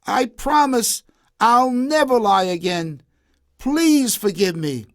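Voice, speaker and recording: A man's voice: very high voice, animated, slow pace, voice pushed up, exaggerated voice. A man voicing a mischievous, emotional shepherd boy in an exaggerated storybook style. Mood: tearful remorse. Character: mischievous shepherd boy, giggly, whiny, panicky, later remorseful.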